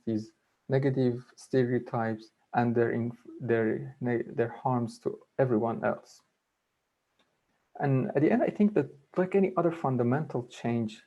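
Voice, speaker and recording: A man's voice: mid-range voice, warm tone. A man with a blended Persian and Kurdish accent, giving an earnest webinar talk and restarting words as he goes. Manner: earnest, halting with frequent restarts. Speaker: webinar speaker. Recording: dull lo-fi webinar audio.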